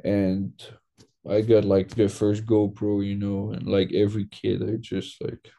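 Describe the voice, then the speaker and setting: Young Quebec-raised man, mellow low tone, relaxed filler-heavy interview talk. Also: a little slow, creaky. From Quebec, Canada. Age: young adult. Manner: loose, filler-heavy, lots of "like". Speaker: guest. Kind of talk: casual interview.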